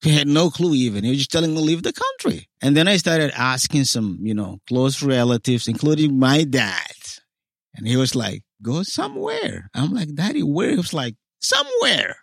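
A man's voice: fairly high pitch, sing-song, moderate pace, gravelly, no room sound, clean studio sound. Earnest man from South Sudan recounting his story. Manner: earnest storytelling, acting out quoted dialogue. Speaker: guest. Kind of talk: personal testimony in interview.

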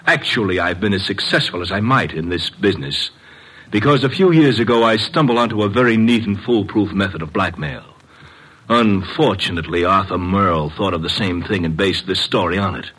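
An actor playing a polite but cold-blooded blackmailing murderer in a 1950s radio crime drama, coldly apologetic and deliberate. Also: male voice, fairly low pitch, a bit bright, husky, poor audio. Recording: vintage radio drama recording.

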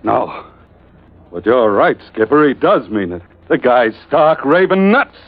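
A man's voice: medium pitch, sing-song, measured pace. An actor, a man, playing a brash, cocky sailor and first mate in a 1940s radio drama. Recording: tinny, faintly noisy old radio recording.

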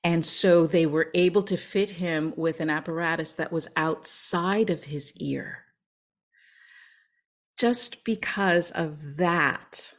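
A woman who sings opera and coaches others tells her story in an interview. She speaks slowly and in a fairly low voice, earnest and emphatic. On her key lines she drops to a whisper. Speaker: guest, opera singer and coach.

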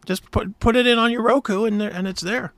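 A man's voice: high-pitched, steady tone, fairly quick, a bit bright, no room sound, studio quality. A man hosting a talk show in casual chat, with an informal, enthusiastic manner.

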